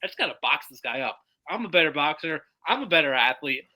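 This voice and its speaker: A man's voice: on the high side, sing-song, fast talker, pushed and bright. A man co-hosting a casual fight-betting podcast, talking emphatically, swearing and repeating his points. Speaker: podcast co-host.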